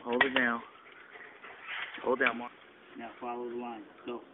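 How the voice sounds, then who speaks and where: A man's voice: medium pitch, completely flat delivery, unhurried. A father patiently coaching his son through a repair job at home, filmed on a handheld camera. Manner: patient, coaching, giving short instructions. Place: indoors at home. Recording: tinny, lo-fi, faint noise.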